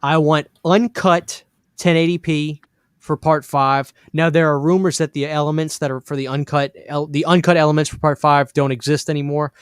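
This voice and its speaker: A man hosting a casual live horror stream, enthusiastic and emphatic, repeating pet phrases, a little hoarse from losing his voice. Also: on the high side, steady tone, normal speed, no echo, clean studio sound. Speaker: horror channel host.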